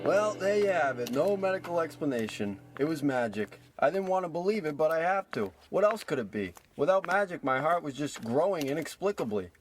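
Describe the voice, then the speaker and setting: A man's voice: fairly high pitch, very animated, fairly quick, few pauses, over music. A man playing a hapless small-time crook, a self-pitying tough guy, with melodramatic wisecracks in a parody of old radio drama. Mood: brooding.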